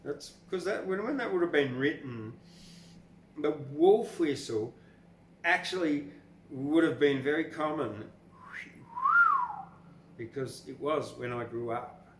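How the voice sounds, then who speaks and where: A man's voice: fairly high pitch, animated, slow pace, long pauses. An older Australian man hosting a poetry podcast, talking and reading in an informal, rambling, blunt way. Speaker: poetry podcast host and reader. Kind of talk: casual commentary and expressive poetry reading. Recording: echoey room with faint background noise.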